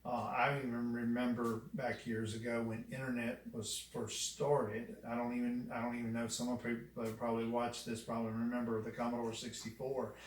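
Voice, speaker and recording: A middle-aged man co-hosting a podcast, speaking slowly and steadily as he reflects. His voice has a middle pitch and carries across a hollow, echoing room from a distant mic, with a soft hiss underneath. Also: monotone. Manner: measured, reflective, reminiscing about older technology. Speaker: podcast co-host. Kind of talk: casual chat. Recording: echoey room, mic at a distance, faint noise.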